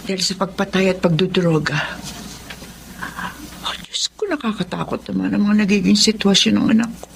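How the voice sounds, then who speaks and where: A woman with a Filipino accent playing a worried, protective widowed mother in a radio drama, earnest and gentle but firm. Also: mid-range voice, creaky, a little hiss or hum, lightly acted. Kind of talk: Tagalog radio drama acting.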